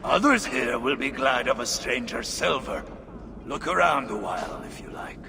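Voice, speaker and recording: An actor playing a boisterous, coarse dwarf chief in a video game, blunt and earthy. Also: male voice, mid-range voice, lively intonation, moderate pace, gravelly. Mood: gruff, wry. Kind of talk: video game cutscene acting. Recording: faint ambient noise.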